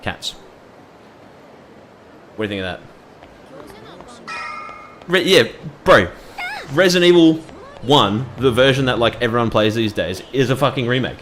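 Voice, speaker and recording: Brief: male gaming streamer, profane rambling commentary, mid pitch. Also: expressive, measured pace, pauses often, fairly bright tone, slight background noise. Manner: ranting, swearing, laughs often. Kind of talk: gameplay commentary.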